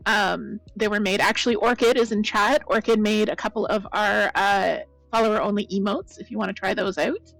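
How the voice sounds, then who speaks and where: A woman chatting casually with viewers as the host of a crafting stream, her voice a bit croaky. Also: medium pitch, steady tone, normal speed, few pauses, faint music behind, low-quality recording. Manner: chatty, rambling, greets viewers by name. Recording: loud blown-out mic.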